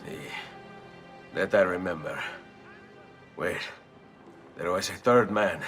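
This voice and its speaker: An actor playing a bold young Italian assassin, speaking with an Italian accent in a steady, determined way. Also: male voice, sits low, slow, distant mic, over music. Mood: resolute. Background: orchestral score.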